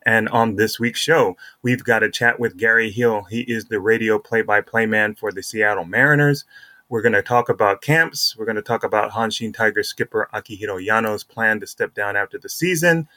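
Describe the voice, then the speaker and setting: A man's voice: fairly low pitch, normal speed, sharp tone, no echo. A middle-aged man hosting a casual sports podcast, chatting and introducing interviews. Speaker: podcast host.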